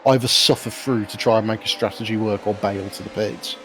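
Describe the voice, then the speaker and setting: A man giving casual, analytical live commentary on a sim race, explaining things in a measured way. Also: lowish voice, fairly even intonation. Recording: faint noise behind voice.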